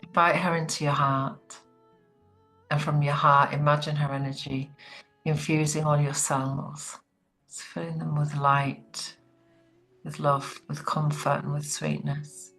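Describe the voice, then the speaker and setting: A woman leading a guided relaxation meditation in a soothing, gentle, unhurried manner. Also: low voice, flat delivery, creaky, faint music behind, low-quality recording.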